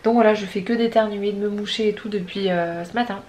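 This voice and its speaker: A woman's voice: medium pitch, fairly even intonation, fast, darkish tone. A chatty woman filming herself at home on a handheld camera, her voice thick and stuffy from a head cold. She rambles along casually and laughs often, with faint background noise. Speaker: vlogger. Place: home, indoors.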